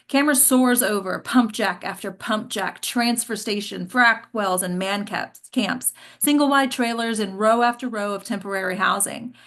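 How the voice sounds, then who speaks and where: A woman's voice: mid-range voice, a bit bright. A woman reading stage directions as scripted narration at a table read.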